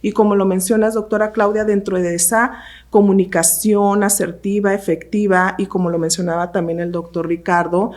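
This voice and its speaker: A woman, a podcast co-host explaining ideas in a measured way and repeating phrases for emphasis. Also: mid-range voice, steady tone, a little mellow. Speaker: podcast co-host, university professor. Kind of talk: panel discussion on a podcast.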